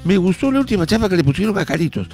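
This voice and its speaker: A man's voice: sits high, very animated, very fast, music in the background. A man with a Peruvian accent co-hosting a comedy radio show with joking banter.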